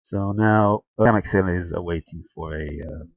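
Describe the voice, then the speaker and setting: A man giving a casual hands-on tutorial narration, halting and thinking aloud as he goes. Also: sits low, vocal fry, dry recording. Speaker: amateur radio video tutorial presenter. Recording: dull, lo-fi close sound.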